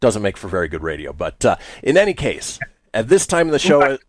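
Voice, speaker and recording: A man's voice: fairly low pitch, wildly swooping pitch, a little fast. A man hosting a radio show in an upbeat style, with emphatic announcing and conversational asides. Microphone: close studio radio mic.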